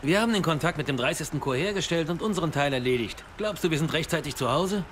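Loyal infantryman, high male voice, frank, earnest, war game dub, faint background noise. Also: lively intonation, fast, fairly bright tone. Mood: casual. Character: plain-spoken, loyal American infantry soldier. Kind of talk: German video game dub acting.

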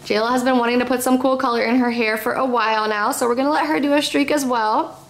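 A woman's voice: fairly high pitch, fairly even intonation, fast talker. A young woman hosting a casual family vlog at home, chatting expressively while her hair is colored. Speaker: vlog host. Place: living room at home. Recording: echoey room, faint noise.

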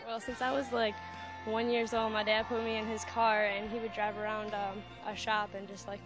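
A young woman dancer answering backstage interview questions haltingly, with plenty of fillers, into a handheld mic. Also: medium pitch, level tone. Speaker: dancer in talent show. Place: convention backdrop, handheld mic. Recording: music playing behind.

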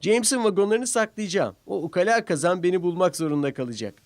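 A man voicing a cheeky, playful young steam engine in an excitable way for a children's cartoon. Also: sits high, fast talker, no echo, voice pushed up, close to an everyday voice. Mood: gleeful, scheming.

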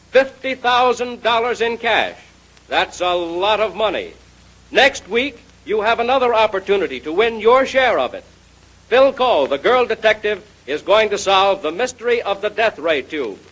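An American man delivers scripted 1930s radio announcing. His voice is high and very animated, with a husky edge. It sounds like a vintage broadcast recording. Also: poor audio.

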